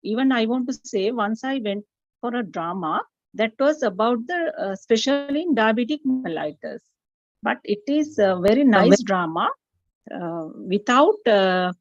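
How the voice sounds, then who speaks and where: A woman from Sri Lanka speaks over a video call, the sound muffled and dry with no room around it. She delivers a presentation, halting often on "uh" as she gathers her thoughts. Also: mid-range voice, dry recording. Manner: hesitant, many "uh" fillers. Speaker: webinar speaker. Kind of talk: presentation talk with many fillers. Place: home during power cut, online call.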